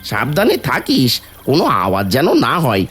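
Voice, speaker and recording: A man playing a sly, vengeful village troublemaker in an animated story, conspiratorial and wheedling. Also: mid-range voice, moderate pace, faint music behind. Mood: plotting.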